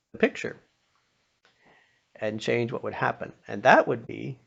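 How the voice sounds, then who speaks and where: An older American man telling informal inspirational stories in a folksy, plain-spoken manner, pausing now and then. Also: mid-range voice, vocal fry, lo-fi sound. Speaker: storyteller sharing childhood memories with a religious lesson.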